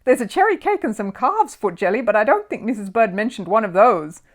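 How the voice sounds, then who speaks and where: A woman voicing a polite, earnest young male bear from a children's storybook, easily puzzled and quick to exclaim. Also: a little high, animated, voice pushed up. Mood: puzzled, alarmed.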